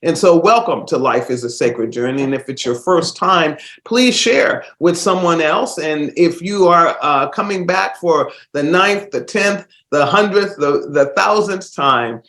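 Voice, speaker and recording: A man's voice: a little high, expressive, measured pace, hardly any pauses, echoey room. A man hosting a casual solo podcast while stuffed up with a cold, rambling with fillers and restarts. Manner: rambling, warm-hearted, many fillers and restarts. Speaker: host.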